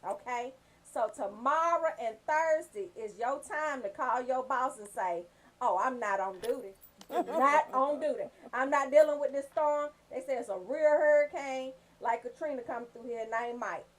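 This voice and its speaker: High-pitched sassy woman hosting radio. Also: moderate pace. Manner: sassy, joking, informal and profane. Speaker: radio show host. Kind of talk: casual comedic radio banter with shout-outs.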